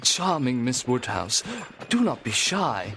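An actor playing an ardent, vain gentleman suitor in a dramatised period audiobook, effusive and pompous. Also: medium pitch, sing-song, slow pace, a little hiss or hum, full character voice. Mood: pleading, amorous.

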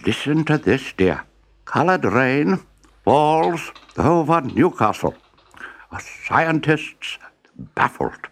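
A man voicing an excitable, absent-minded old professor in a storybook, eager and exclaiming. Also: a little high, slow, voice pushed up, exaggerated voice. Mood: delighted. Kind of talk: storybook character voice. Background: background music.

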